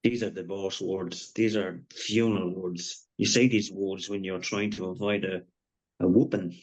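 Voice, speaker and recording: An actor playing a blunt, sardonic office colleague in a live screenplay table read. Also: male voice, deep voice, steady tone, a little slow, low-quality recording. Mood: dramatic alarm.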